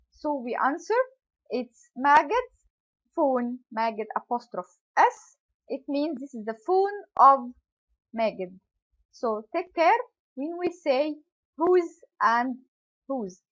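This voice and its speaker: A woman's voice: high voice, a bit bright, dull audio. A woman teacher carefully explaining question words to young pupils, acting out sample questions and answers. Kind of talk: English grammar lesson.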